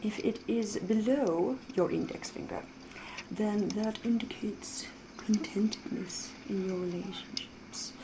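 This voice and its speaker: Woman acting as a seductive female vampire, ASMR roleplay, unhurried pace, soft half-whisper, elegant wording, sly and mysterious, medium pitch, clear background noise. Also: sing-song. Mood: silky, intimate. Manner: slow, deliberate, genteel phrasing with teasing pauses.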